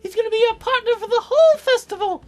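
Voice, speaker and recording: A woman's voice: extremely high pitch, fast, voice pushed up. A woman voicing a blunt, bawdy grandmother who teases her granddaughter in a visual novel game. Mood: teasing. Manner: sweetly teasing, crude jokes.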